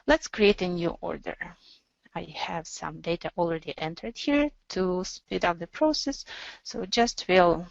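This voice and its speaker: A woman narrating an instructional software demo as a webinar presenter, explaining in a measured, step-by-step way. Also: on the low side, creaky, no echo, muffled recording.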